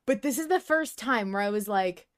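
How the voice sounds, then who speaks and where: A woman's voice: medium pitch, animated, normal speed. Emphatic, gushing woman podcast co-host. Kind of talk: casual fan discussion.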